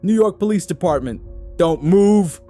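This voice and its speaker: A man doing a gruff barking New York cop. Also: fairly high pitch, animated, normal speed, a little mellow, faint music behind, pitched above the performer's own voice, natural voice. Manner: barking, sarcastic.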